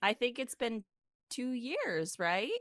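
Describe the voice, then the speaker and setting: A woman's voice: on the high side, animated, takes it slowly, fairly bright tone, no echo, studio quality. A woman co-hosting a podcast in casual chat, with a playful, exclamatory way of talking. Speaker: podcast co-host.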